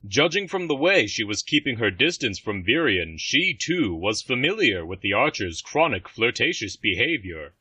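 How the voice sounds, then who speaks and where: A man's voice: medium pitch, sing-song, normal speed, few pauses, sharp tone, husky, no room sound, muffled recording. A man narrating a scripted fantasy story as the narrator of an audio drama.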